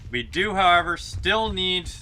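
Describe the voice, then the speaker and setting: Streamer, man: bright, slow, wry, animated intonation. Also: high-pitched. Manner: dry, wry remarks. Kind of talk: casual gameplay commentary.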